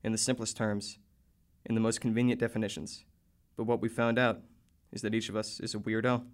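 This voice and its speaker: A man's voice: fairly low pitch, little pitch movement, a little fast, close to an everyday voice. A man playing a wry, defiant teenage boy narrating a letter in a radio drama.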